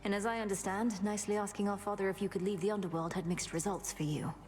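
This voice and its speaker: An actress playing a determined, fierce young sorceress princess in a video game, speaking in formal, archaic, earnest phrases. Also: female voice, medium pitch, fairly even intonation, fast, darkish tone, faint music behind, close to an everyday voice. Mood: calm resolve. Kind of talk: dramatic video game acting.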